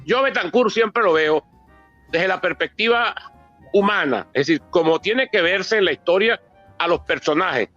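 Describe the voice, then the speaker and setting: A Venezuelan man in his sixties speaks in a high register, presenting his book with many asides and repetitions. The sound is dry and somewhat dull, with faint music behind him. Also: expressive, normal speed, sharp tone, no echo. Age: 60s. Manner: discursive, with frequent asides and repeated words. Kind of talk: public book presentation speech.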